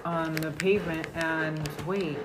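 A woman with a lowish voice talks informally among family. Her delivery is playful and slangy. The home recording has clear background noise and clicking. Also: steady tone, unhurried. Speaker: family podcast host reading scripture aloud and commenting. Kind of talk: casual family chat with scripture reading.

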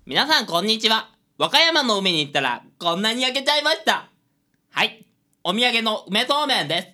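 Radio drama bumbler played by an actor: bright forceful voice, lively intonation, carefree, naive, pleased with themself. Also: mid-range voice, fairly quick. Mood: cheerful. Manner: breezy, naive. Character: carefree, self-satisfied bumbling novice detective.

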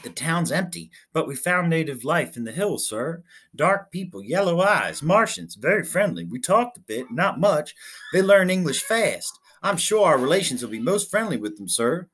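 A man reading aloud voices an eager young army lieutenant who reports briskly and keeps saying sir. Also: a little high, sing-song, a bit bright, husky, pitched above the performer's own voice, exaggerated voice.

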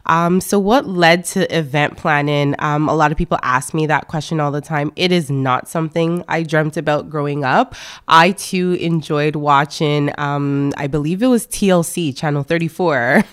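A woman in her thirties speaks with a low, smooth voice. She tells her own story unhurriedly as a guest, with plenty of "um"s and easy warmth. Her tone stays clear throughout. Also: normal speed. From born in France, raised in Canada. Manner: warm storytelling with fillers. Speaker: guest, event planner. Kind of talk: casual interview talk.

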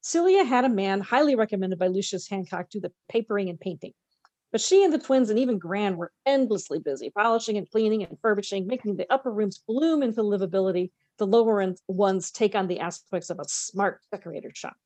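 A woman's voice: mid-range voice, expressive, a little fast, darkish tone, no room sound. A woman co-hosting a podcast, expressively reading a novel aloud with casual, conversational asides.

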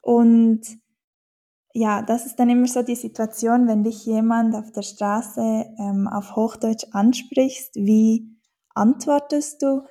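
Slow, mellow Swiss woman. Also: fairly high pitch, steady tone. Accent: Swiss German. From German-speaking Switzerland. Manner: thoughtful, many fillers and restarts. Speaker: Swiss German teacher, guest. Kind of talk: casual interview answers.

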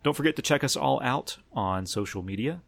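A man hosting a casual podcast discussion, talking in a somewhat halting, hesitant way. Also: mid-range voice, expressive, gravelly, no echo. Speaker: podcast host.

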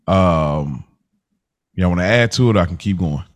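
A man's voice: sits low, lively intonation, unhurried. Laid-back man recapping a show. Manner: rambling, loose, chuckles often. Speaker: podcast co-host. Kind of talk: casual recap chat.

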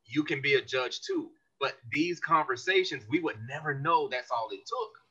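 A man, a community organization leader, explaining his views as a guest in a podcast interview, animated and earnest. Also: fairly high pitch, moderate pace, breathy edge, highs cut off.

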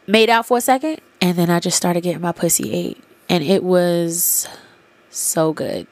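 A woman's voice: fairly low pitch, lively intonation, normal speed, darkish tone, no room sound. A young adult woman hosting a podcast with a congested nose, telling a story in a loose, candid way full of fillers and swearing. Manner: candid, profane, loose retelling with many fillers. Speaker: podcast host. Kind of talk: casual storytelling monologue.

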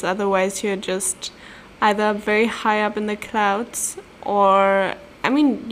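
A woman's voice: mid-range voice, a little hiss or hum. A young woman with a German accent hosting a casual solo podcast, chatty and playful, giggling through her asides. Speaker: podcast host. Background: faint room ambience, cat nearby.

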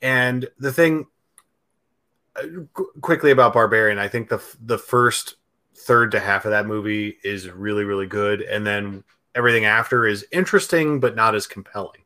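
A man co-hosts a casual film chat and talks in a hesitant way, full of ums and restarts. His voice sits a little low but sounds bright. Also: moderate pace. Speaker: podcast and stream co-host.

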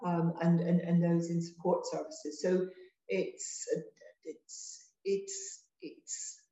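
A woman from Ireland, a health service director talking as a guest in an interview, measured and often restarting her phrases. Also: on the low side, sing-song, mellow, echoey room. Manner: measured, with frequent restarts and fillers. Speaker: NHS trust managing director, guest. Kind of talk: interview conversation.

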